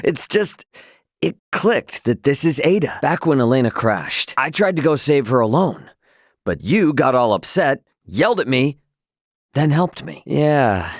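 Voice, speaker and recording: A man's voice: medium pitch, very animated, a little slow, dry recording, studio quality. A man voicing a brash, good-natured young starship captain in a video game, with a breezy, slangy, informal delivery. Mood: warm, sincere. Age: young adult. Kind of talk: English game dub dialogue, casual and expressive.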